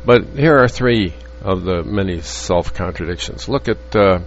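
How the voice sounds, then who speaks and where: A man teaching from the Bible in an earnest, halting way, with frequent restarts and fillers. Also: low-pitched, animated. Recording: dull lo-fi audio with faint hum.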